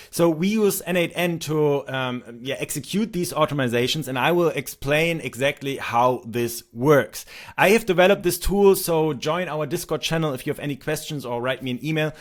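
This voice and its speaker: A man in his thirties with a German accent, a native German speaker, casually walking through a demo of software he built, with frequent ums and restarts. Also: on the high side, normal speed. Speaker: software developer demoing his tool. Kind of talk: screen-recorded software tutorial.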